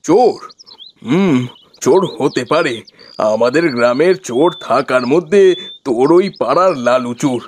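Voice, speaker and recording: A man voicing a village farmer, a husband and father, in a homely, expressive cartoon style. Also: medium pitch, measured pace, faint music behind, natural voice. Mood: suspicious. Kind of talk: cartoon voice acting.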